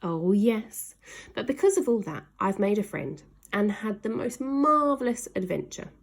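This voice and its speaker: A kind, plucky storybook boy , as a woman reader plays him. He talks in a breezy, reassuring way, with lively pitch and a creaky edge. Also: unhurried. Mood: cheerful.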